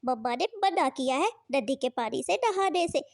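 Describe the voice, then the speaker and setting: A woman's voice: high voice, animated, normal speed. A woman voicing a caring, fretful, admonishing female bird in a cartoon. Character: caring, scolding female bird, mother and neighbour.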